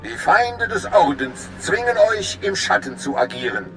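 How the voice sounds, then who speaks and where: An actor playing a mysterious master of a secret order in a game, speaking in a grand, ceremonial, commanding manner. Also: male voice, high-pitched, big pitch swings, moderate pace, a bit bright, soft background music, exaggerated voice, low-quality recording. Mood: solemn, ceremonial. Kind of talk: dramatic game voice acting.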